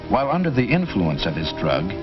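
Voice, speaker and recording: A man narrating a 1950s police training film in a formal, measured, authoritative way. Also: fairly low pitch, wildly swooping pitch, over music. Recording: dull old film soundtrack with loud hiss.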